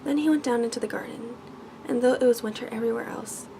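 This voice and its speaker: Soft, creaky woman reading a bedtime story. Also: fairly high pitch, fairly even intonation, fast talker, noisy background. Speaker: ASMR storyteller. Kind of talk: ASMR bedtime story reading, scripted narration.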